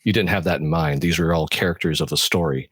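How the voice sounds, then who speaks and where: A man's voice: extremely low pitch, fast talker, fairly bright tone, dry recording, clean studio sound. A man hosting a casual interview, responding in an affirming, conversational way. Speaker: podcast host.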